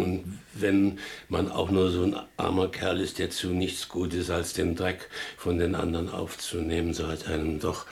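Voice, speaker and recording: Deep, gravelly, humble old farmhand father. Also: exaggerated voice. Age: older. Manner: rambling, halting, deferential. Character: poor old farmhand father, humble, anxious, devoted to child. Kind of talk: radio play acting.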